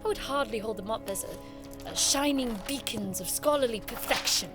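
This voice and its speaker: A woman's voice: fairly high pitch, moderate pace, lightly acted. A woman acting in an audio drama as a proud, witty young noblewoman who studies magic, speaking in a wry, formal, haughty way. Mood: scornful. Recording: music behind the voice.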